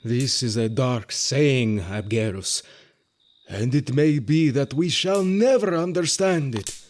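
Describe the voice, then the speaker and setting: Haughty skeptical magus, argumentative, very up and down speechy delivery, audio drama. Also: male voice, medium pitch, darkish tone. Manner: declamatory, argumentative. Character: Tigranes, proud skeptical male Magus, guarding his order's power.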